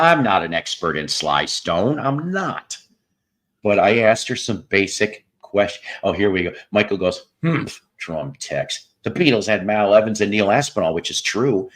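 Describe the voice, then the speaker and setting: A man hosts a loose, unscripted chat and has a soothing, relaxing voice. He talks very slowly and rambles, with a wide, lively rise and fall in his pitch. He trails off and restarts his sentences often. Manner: slow, rambling, with many restarts and pauses. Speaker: host. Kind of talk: casual storytelling chat.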